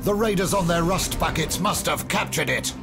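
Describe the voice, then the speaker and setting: An actor playing a stern, weary older fleet commander in a video game, solemn and weighty in delivery. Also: male voice, on the high side, normal speed, lightly acted. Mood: commanding. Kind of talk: scripted video game acting. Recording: loud music under the voice.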